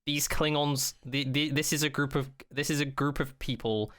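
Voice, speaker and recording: A man co-hosting a podcast, chatting casually and opinionatedly, acting out mock quotes in an animated way and swearing freely. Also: medium pitch, moderate pace. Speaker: podcast co-host. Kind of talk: casual chat, opinionated rant about a TV show.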